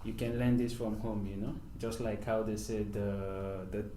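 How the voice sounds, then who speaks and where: A Nigerian man in his thirties, a Hausa speaker from the north, answering interview questions in a halting, unhurried way, letting sentences trail off softly. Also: lowish voice, flat delivery. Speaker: podcast guest, professional footballer. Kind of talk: casual interview conversation. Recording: echoey room, faint background noise.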